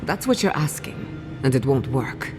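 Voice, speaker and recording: Loud music sits behind a low female voice in a reverberant space. a confident scholar-adventurer in a fantasy game, articulate, wry and keen to explain. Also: very animated, a little slow, heavily acted. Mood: intrigued. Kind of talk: scripted video game acting.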